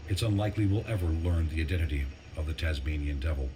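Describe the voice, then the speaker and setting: An actor playing a weary, grieving army major who solemnly delivers a measured farewell letter in a military video game. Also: male voice, rumbling low, noisy background. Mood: solemn, formal. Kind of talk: dramatic scripted monologue in a military video game. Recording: music under the voice.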